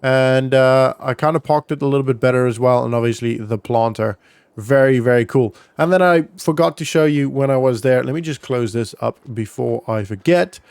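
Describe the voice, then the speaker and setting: A male gaming vlogger with a clean, resonant voice at a middling pitch, recorded dry with no room sound. He talks casually and conversationally, explaining what is going on in a roleplay game as he plays. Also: normal speed, gravelly, darkish tone, smooth, dry recording. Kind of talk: casual roleplay gameplay commentary.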